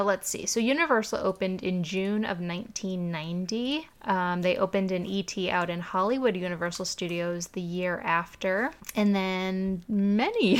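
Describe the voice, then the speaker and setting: A woman's voice: mid-range voice, fairly bright tone. A woman hosting a podcast, recounting a story in casual chat, full of "um"s and "like"s. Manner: chatty storytelling with many "um"s and "like"s. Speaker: podcast host.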